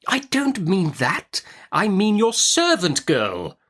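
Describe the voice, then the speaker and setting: A man's voice: high-pitched, wildly swooping pitch, slow pace, a bit bright, pitched above the performer's own voice, exaggerated voice. A man voicing an imperious, brusque older woman, a domineering great-aunt in an audiobook of a classic novel, with an emphatic, commanding manner. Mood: brisk, impatient.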